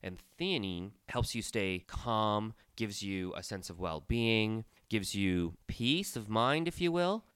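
Man, middle-aged, low deep voice, stuffy nose, chatty host, dry studio sound. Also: animated, slow, hardly any pauses, a bit bright, clear, resonant tone, no room sound. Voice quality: deep, resonant. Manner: chatty, storytelling. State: stuffy nose, recovering from a cold. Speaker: podcast host. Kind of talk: casual chat.

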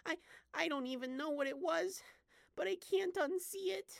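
A woman voicing a traumatized, earnest little candy boy who speaks haltingly and dramatically in an audio drama. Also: very high-pitched, creaky, no echo, clean studio sound. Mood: shaken, horrified. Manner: halting, dramatic horror-struck telling.

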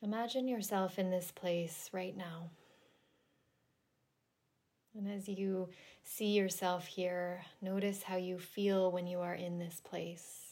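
A woman leading a guided relaxation meditation in a gentle, soothing, deliberate manner with long pauses. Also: lowish voice, steady tone. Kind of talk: guided yoga nidra meditation.

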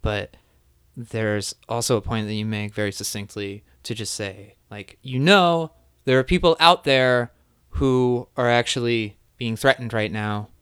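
A man hosting a podcast interview, asking rambling questions and giving quick affirmations. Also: mid-range voice, lively intonation, a bit bright, clear, resonant tone, dry recording, clean studio sound. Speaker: podcast host. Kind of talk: casual interview chat.

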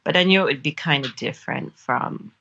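A woman with an Australian accent and a low, husky voice, chatting casually as an author being interviewed, in a self-deprecating, giggly way. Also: fairly quick, sharp tone, dull audio. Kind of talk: casual chat.

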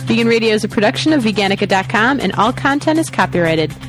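A woman's voice: fairly high pitch, animated, fast talker, over music. A woman co-hosting a radio podcast, reading the closing announcements in a playful, upbeat way. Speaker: podcast co-host. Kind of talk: scripted show outro.

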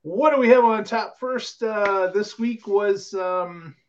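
A man's voice: sits high, slow pace, lo-fi sound, slight background noise. A man hosting a casual podcast, talking in a chatty way with lots of filler words. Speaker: podcast host. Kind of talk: casual chat show hosting.

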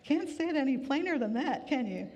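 A woman pastor giving a sermon in a relaxed, conversational way with gentle humor, weary from grief. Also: high-pitched, brisk, dull audio. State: grieving, tired. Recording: long hall reverb.

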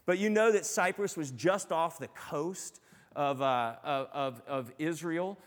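A man preaching a sermon as pastor. He speaks earnestly and encouragingly, with a loud voice and many fillers and restarts. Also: fairly high pitch, a little slow. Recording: long hall reverb.

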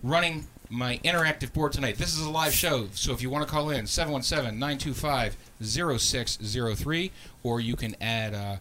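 Bright-voiced laughing podcast host. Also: male voice, medium pitch, slight background noise. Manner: loose, laughing, rambling with restarts. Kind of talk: live podcast chat.

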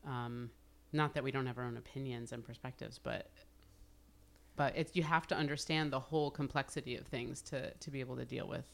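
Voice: mid-range voice, fairly even intonation, fairly quick, vocal fry. An interviewer who talks thoughtfully and at length, with frequent "like" fillers. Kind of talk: casual interview talk.

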